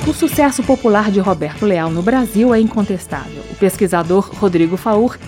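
A woman reading scripted news narration in a clear, measured way. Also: medium pitch, expressive, over music. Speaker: reporter.

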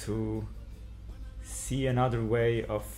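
A European man, a musician, speaking in a measured, thoughtful way in an interview. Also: medium pitch, flat delivery, darkish tone, soft background music. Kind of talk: casual interview.

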